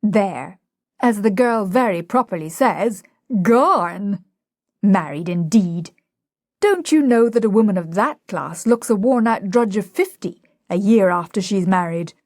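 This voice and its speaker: An imperious, blustering male professor holds forth, voiced by a woman reading a play. The delivery is slow and deliberate, with a breathy edge and lively pitch. The sound is dry and close. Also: no room sound. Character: Higgins, domineering male phonetics professor.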